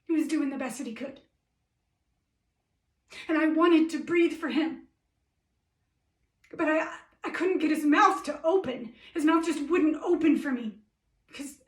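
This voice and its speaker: A woman performing a theatre monologue as a shaken, earnest female police officer, plain-spoken and halting. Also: high-pitched. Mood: shaken, pleading. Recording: roomy echo, distant microphone.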